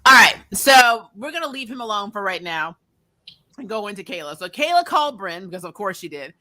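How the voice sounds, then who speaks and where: A woman's voice: medium pitch, very animated, a little fast, sharp tone. A woman co-hosting a casual review chat, animatedly retelling things and acting out quotes. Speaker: review show co-host.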